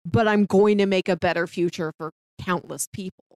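A woman's voice: lowish voice, steady tone, normal speed, a little mellow, dry recording. Woman chatting casually, dry close sound. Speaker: podcast co-host. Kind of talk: casual film discussion.

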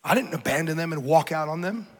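A man's voice: fairly high pitch, lively intonation, fast. A man in his thirties preaching an urgent, emphatic sermon in a large church hall. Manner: urgent, emphatic, rhetorical repetition. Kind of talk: passionate sermon. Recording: reverberant hall.

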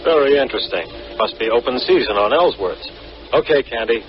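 An actor playing a commanding, sarcastic police detective with dry delivery in vintage radio drama. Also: male voice, mid-range voice, animated, a little fast, over loud music, lightly acted. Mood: sardonic. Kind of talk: 1940s-50s radio detective drama acting. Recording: muffled old radio recording.

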